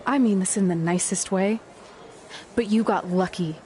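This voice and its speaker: A woman's voice: medium pitch, moderate pace, darkish tone, lightly acted. A woman acting as a blunt, exasperated young pro wrestler, sarcastic and no-nonsense in a scripted drama. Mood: reproachful. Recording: noisy location sound with ambience.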